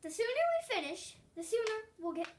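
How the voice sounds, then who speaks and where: A woman's voice: very high-pitched, big pitch swings, a little fast, far from the mic, pitched above the performer's own voice. A young girl reading the part of an impatient, greedy male thief in a storybook, urgent and demanding. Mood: impatient, anxious. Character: impatient, greedy thief, a shriveled lonely man.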